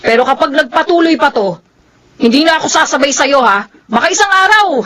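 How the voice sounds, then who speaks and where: A high-pitched, expressive young Filipina in a radio drama. She is outspoken and challenging, teasing her friends and quick to bristle. There is faint noise behind her. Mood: alarmed, angry.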